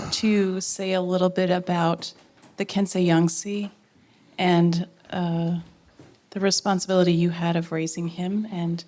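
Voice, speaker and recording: A woman's voice: lowish voice, mellow. A woman from the audience politely asking a question.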